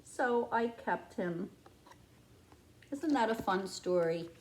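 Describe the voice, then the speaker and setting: A woman reading a picture book aloud to young children like a preschool teacher, with expressive, exaggerated wonder. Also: mid-range voice, slow, slight background noise, poor audio.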